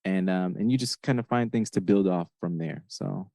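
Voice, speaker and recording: Podcast guest: man, thirties, from Honduras, low mellow voice, hesitant casual storyteller, dry close sound. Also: normal speed, vocal fry, no room sound.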